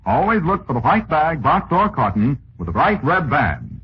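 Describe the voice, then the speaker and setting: A man's voice: a little high, huge pitch swings, normal speed. An American man working as a 1940s radio announcer, reading sponsor copy with polished, folksy salesmanship. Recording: muffled, hissy 1940s radio recording.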